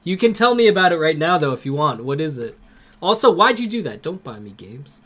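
A young man with a cold, streaming a game from home on a headset and greeting his chat by name with excited outbursts. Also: a little high, fairly quick. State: has a cold.

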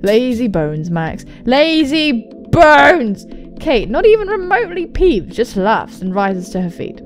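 A young woman reads a visual novel aloud for a gaming video, her voice on the high side and very animated. She breaks into jokey, sweary commentary as she goes, with some music behind her. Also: unhurried. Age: young adult. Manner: expressive, sweary, jokey asides.

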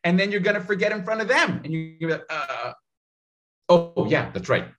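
A man in his thirties giving public speaking advice on a video call, talking in an animated, direct way with lots of gestures, recorded on a studio mic at home. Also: a little high, moderate pace. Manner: animated, gestures a lot, talks straight to the viewer. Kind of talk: energetic advice in an interview. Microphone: studio mic in frame on a video call.

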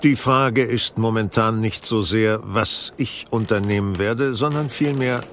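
Audio drama detective, lowish voice, composed and dryly ironic, measured articulate delivery over noticeable background ambience. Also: male voice, a bit bright, a little hiss or hum. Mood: brisk, businesslike. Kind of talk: German audio drama acting.

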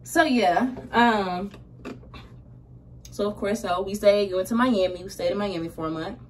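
A woman's voice: medium pitch, normal speed. A woman in her twenties with an American accent, chatting casually and slangily while getting ready in a small room. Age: 20s. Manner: slangy, conversational. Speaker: vlogger. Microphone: camera a short distance away. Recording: roomy distant camera mic, faint noise.

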